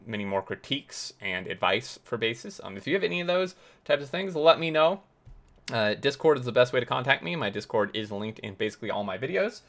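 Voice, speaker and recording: A man hosting a casual game tour, talking with warm enthusiasm and plenty of fillers, his voice getting hoarse. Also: medium pitch. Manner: enthusiastic, rambling with many fillers. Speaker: gaming video host.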